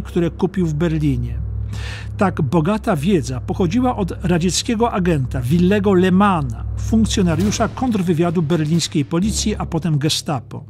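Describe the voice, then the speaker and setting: A man narrating a historical audiobook from a script in a deliberate, dramatic storytelling way. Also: sits high, a little mellow.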